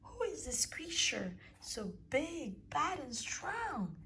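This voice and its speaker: A woman voicing a curious, brave young female Gruffalo child in a storybook, asking eager questions in a raised voice. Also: sits high, big pitch swings, slow pace, bright, forceful tone, slight background noise, voice pushed up, full character voice.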